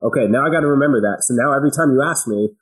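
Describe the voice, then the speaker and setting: A man's voice: mid-range voice, racing, rough recording. A man chatting casually as a podcast guest, emphatic and slangy, telling anecdotes and posing rhetorical questions. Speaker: podcast guest, business owner.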